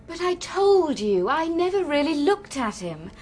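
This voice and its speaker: A high-voiced, anxious young woman. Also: very animated, a little slow, a little mellow. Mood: fretful, doubting. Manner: hesitant, fretful. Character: anxious, self-doubting young English woman. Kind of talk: radio drama acting.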